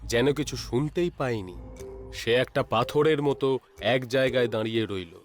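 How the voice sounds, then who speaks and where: A man narrating a scripted horror story in a measured, dramatic storytelling manner. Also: medium pitch, expressive, soft background music.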